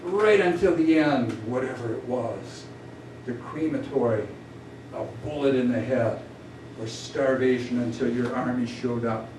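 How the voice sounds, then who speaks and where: A man's voice: medium pitch, a little slow, lightly acted. A man voicing an indignant, grieving, combative biblical prophet in a declamatory, accusing epic poetry recitation. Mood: angry, mournful. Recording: distant roomy live recording, faint noise.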